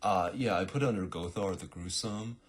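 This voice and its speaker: A man's voice: sits low, a little fast, breathy edge. A man voicing a big, genial assassin with a casual, chummy way of talking. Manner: casual, friendly.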